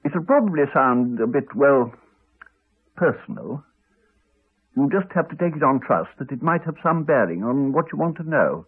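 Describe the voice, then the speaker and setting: An actor playing a courteous, wry English country doctor, middle-aged, with a hesitant, chatty manner in a 1980s radio drama. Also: male voice, medium pitch, expressive, normal speed, husky. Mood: hesitant, serious. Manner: hesitant, chatty, clipped phrases. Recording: slightly dull old radio recording.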